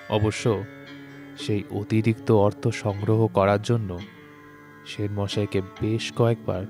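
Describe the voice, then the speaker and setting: A man narrating a literary story from a script, with measured, formal phrasing. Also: on the low side. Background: plucked-string instrumental music.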